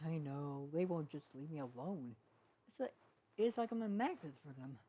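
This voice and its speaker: A woman's voice: low-pitched, animated, moderate pace, mellow, slightly rough, muffled recording. A woman voicing a weary, exasperated young male pony sheriff in a fan story reading, protesting as he talks.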